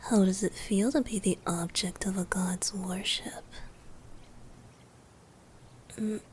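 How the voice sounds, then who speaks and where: A young bard god played by a woman speaks slowly and softly, often slipping into breathy whispers. He is playful, tender and affectionately teasing, made for romantic ASMR roleplay. The recording carries faint rumbling noise behind the voice. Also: close to an everyday voice. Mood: devoted, teasing.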